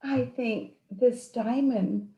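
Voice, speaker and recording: A woman's voice: a little high, slow pace, dark tone. A woman playing a sugary, two-faced femme fatale in a noir radio play, cooing and melodramatic. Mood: coy, scheming. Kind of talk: 1940s noir radio acting, live community theatre. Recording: roomy, echoing live stage recording.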